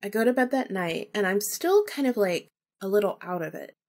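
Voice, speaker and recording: Woman, podcast host, casual storyteller, mid-range voice, informal, animated retelling. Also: mid-range voice, fairly quick. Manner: animated retelling, acting out remembered dialogue, informal with swearing.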